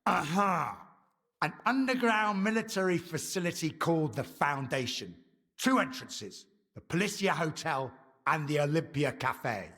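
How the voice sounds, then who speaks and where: An actor playing a calculating militia leader in a video game, with a deliberate, theatrical and commanding manner. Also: male voice, on the high side, big pitch swings, sharp tone, exaggerated voice. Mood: confident, scheming. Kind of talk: dramatic video game dialogue.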